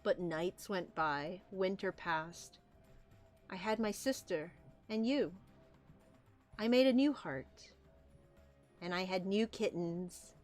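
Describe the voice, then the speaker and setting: A woman's voice: lowish voice, slow, pauses often, faint music behind. A woman voicing a weary mother rabbit from a comic, solemn and subdued as she speaks. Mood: subdued grief. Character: weary, forgiving mother rabbit scarred by loss.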